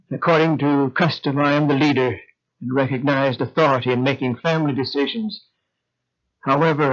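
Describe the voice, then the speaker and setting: Man playing a stiff formal old patriarch, kinda high voice, muffled old audio. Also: lightly acted. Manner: formal, hesitant starts. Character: elderly male family patriarch, formal and dignified. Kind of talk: old-time radio drama.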